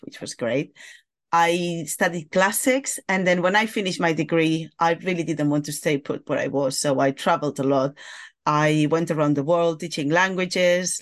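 A woman from Spain with a Spanish accent, talking casually as a guest about teaching Latin and Greek, thoughtful and lively with fillers. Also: low voice. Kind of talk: casual interview talk.

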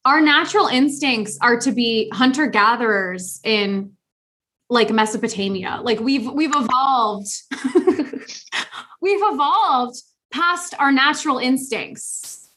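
A young woman co-hosting a podcast, chatting casually in an animated, sarcastic way and often laughing. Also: high voice, slow pace. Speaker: podcast co-host. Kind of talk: casual chat.

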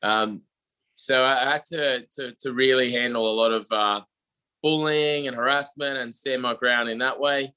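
A young Australian man, a podcast guest, telling his story in an upbeat, energetic way with frequent fillers. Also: mid-range voice, normal speed, smooth, low-quality recording. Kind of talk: casual interview talk.

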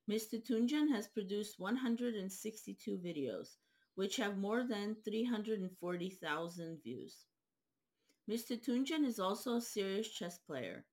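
A woman interviewer who reads a formal introduction and asks questions at a measured pace. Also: medium pitch. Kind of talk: reading a scripted introduction.

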